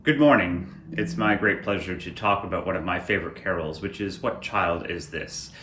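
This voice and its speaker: A man giving an informal explanatory talk on church music, thoughtful and hesitant, with frequent pauses. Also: sits low, pushed and bright. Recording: roomy echo, clear background noise.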